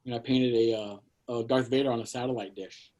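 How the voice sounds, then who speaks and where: A middle-aged man speaks over a video call from a small studio shed. His tone is mellow and unhurried, and he wanders through his thoughts with plenty of fillers and restarts. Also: mid-range voice, fairly even intonation, rough recording. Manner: rambling, many fillers and restarts. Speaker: guest artist. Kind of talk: casual conversational talk.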